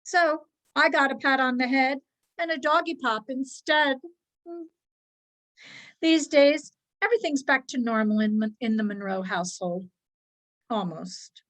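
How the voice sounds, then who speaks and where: A woman reading a children's story aloud as its narrator, expressively and with measured, dramatic pauses. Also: sits high, a bit bright, low-quality recording. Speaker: storybook reader, narrating as a dog.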